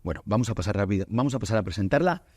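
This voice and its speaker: A man from Spain hosts a podcast conversation, his voice low and soft-edged. He speaks in a relaxed, halting way, full of fillers and restarts. The studio sound is dry and close. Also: husky, no echo. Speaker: podcast host. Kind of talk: casual interview chat.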